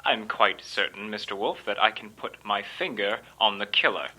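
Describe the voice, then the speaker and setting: An actor playing a stiff, self-important doctor in an old time radio mystery, speaking formally and emphatically. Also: male voice, fairly low pitch, normal speed, pushed and bright, breathy edge, heavily acted, low-quality recording. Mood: urgent, certain.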